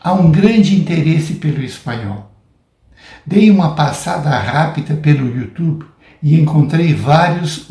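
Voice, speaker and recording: A man with a Brazilian Portuguese accent giving a prepared academic lecture in a deliberate, measured, didactic way. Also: fairly high pitch, dark tone. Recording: roomy, echoing sound.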